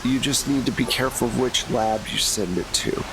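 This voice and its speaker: A man's voice: medium pitch, a little fast, natural voice. A man doing a cautious dig-site boss. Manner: cautious, hesitant. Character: worried male project lead at a dig. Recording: noisy hiss.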